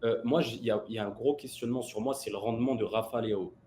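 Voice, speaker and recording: Relaxed man moderating, faint noise. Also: fairly low pitch, fast talker. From Italian-French. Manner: relaxed, filler-heavy questions. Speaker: host and moderator. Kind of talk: casual discussion, moderating.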